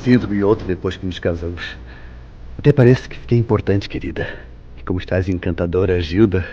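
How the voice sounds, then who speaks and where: A man's voice: low-pitched, fairly even intonation, brisk, warm tone, lo-fi sound, a little hiss or hum, natural voice. A man playing an earnest, brooding newlywed husband in a vintage Brazilian radio soap, with theatrical, formal diction. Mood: tender. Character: tender but suspicious, brooding newlywed husband Mauro.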